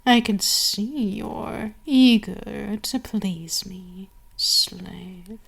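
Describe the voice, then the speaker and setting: A woman playing a cruel, seductive vampire queen in an ASMR roleplay, speaking haughtily and deliberately, with lingering pauses and breathy whispers. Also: medium pitch, steady tone, heavily acted. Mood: smug plotting.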